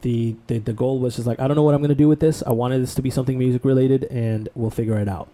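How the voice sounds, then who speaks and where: A man from Bangladesh, co-host of a podcast, telling his life story in a relaxed, rambling way. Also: medium pitch, quick pace. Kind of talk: casual podcast conversation.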